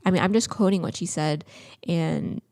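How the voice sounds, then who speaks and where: A young woman co-hosts a podcast in a loose, chatty way and fills her talk with small hesitations. Her voice sits low and mellow and has a gravelly fry to it. The recording is dry and close. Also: moderate pace, no echo. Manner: chatty, lots of filler words. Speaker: podcast co-host. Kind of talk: casual chat.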